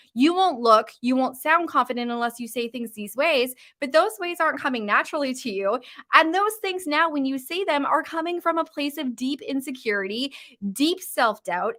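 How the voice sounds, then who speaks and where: Canadian west coast woman coaching on a live stream, kinda high voice, rising uptalk, chatty and informal. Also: pushed and bright. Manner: uptalk, informal with frequent fillers. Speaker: confidence coach. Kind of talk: casual live-stream talk. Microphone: live-stream mic.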